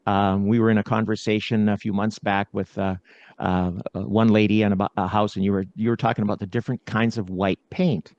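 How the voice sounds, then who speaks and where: A man's voice: fairly low pitch, little pitch movement, a little fast, a little mellow. A middle-aged man hosting a podcast interview, chatty and self-deprecating, prone to interrupting. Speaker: podcast host. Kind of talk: casual interview chat. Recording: dull, slightly lo-fi sound.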